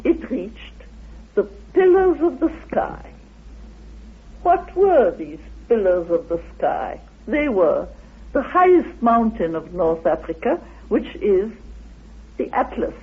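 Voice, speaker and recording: A man giving a lecture-like explanation in an interview as a researcher, with deliberate, halting, declamatory phrasing. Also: high voice, sing-song, slightly rough. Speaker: interviewed researcher of ancient myths. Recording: tinny phone-like old radio recording.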